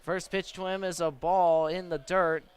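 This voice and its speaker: A man with an American accent and a notably high voice does live baseball play-by-play for radio. He describes each pitch in a measured way and lifts his delivery as the action builds. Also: little pitch movement, clear, resonant tone. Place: at a baseball stadium.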